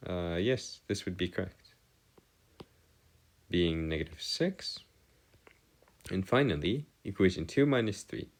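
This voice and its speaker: Slow, deep-voiced man tutoring. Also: animated. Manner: halting, pauses to double-check himself. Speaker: math tutor. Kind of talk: lesson, working through equations step by step.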